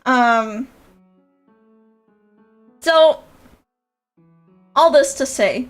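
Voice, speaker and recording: A woman's voice: high voice, drawn-out words, soft background music. A young woman with a nasal voice, chatting casually and rambling on a livestream, with lots of fillers and laughs. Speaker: VTuber streamer and creator coach.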